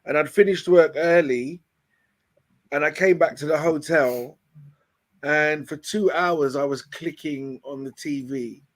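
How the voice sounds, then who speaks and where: Hoarse British man, guest founder, slightly high voice, rambling and full of ums. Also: measured pace. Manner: rambling storyteller, many fillers and restarts. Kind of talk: casual chat on a video call show.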